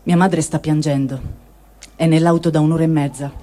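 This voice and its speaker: Mellow man reading a diary, slightly high. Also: little pitch movement, normal speed. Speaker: reader. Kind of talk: reading a first-person diary aloud.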